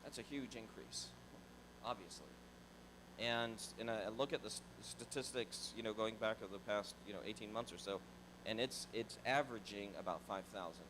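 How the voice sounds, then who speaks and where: Chatty lower-voiced middle-aged man pastor. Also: moderate pace, noisy background. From Tallahassee, Florida. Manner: conversational, repeats words and restarts, animated. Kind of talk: church talk, casual storytelling. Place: large church sanctuary. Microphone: handheld mic.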